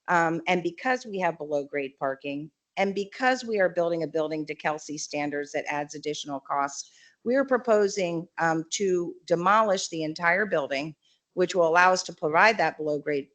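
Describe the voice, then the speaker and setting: A woman giving a measured, explanatory presentation at a public county meeting, with frequent fillers. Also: on the low side. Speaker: developer representative presenting a project.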